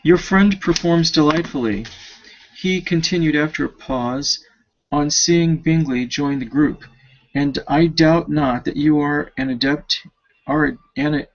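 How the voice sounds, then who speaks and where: Creaky-voiced man reading a novel aloud. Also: on the high side, steady tone. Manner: halting, stumbles and rereads words. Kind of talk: amateur audiobook reading of a classic novel. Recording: dull lo-fi home recording.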